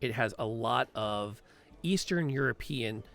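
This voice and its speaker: A man's voice: mid-range voice, expressive, unhurried, vocal fry, fairly bright tone. A man hosting a podcast and live stream, chatting in a rambling, upbeat way with lots of fillers and restarts. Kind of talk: casual chat on a live stream.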